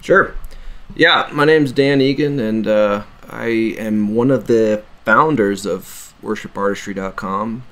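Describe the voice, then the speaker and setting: A man's voice: lowish voice, measured pace, fairly bright tone. A young man with a laid-back manner and dry humor, chatting as co-host on a podcast. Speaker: podcast co-host and bass player. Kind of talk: casual podcast chat.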